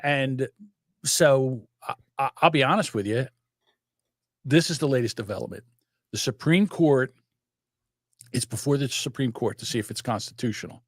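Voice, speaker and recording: A man's voice: medium pitch, normal speed, pauses often, no room sound, clean studio sound. An older man, chatting casually and profanely as a podcast co-host, rambling through stories. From South Jersey. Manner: rambling, profane, animated storytelling. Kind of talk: casual chat.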